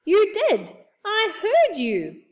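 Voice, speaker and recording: A woman's voice: very high voice, huge pitch swings, extremely slow, a little mellow, far from the mic, voice pushed up, heavily acted. A woman voicing a cheerful, muddled, hard-of-hearing old man from a children's storybook, exclaiming emphatically. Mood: indignant, muddled. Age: older. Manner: emphatic, exclaiming, eagerly mishearing. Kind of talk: children's storybook character reading.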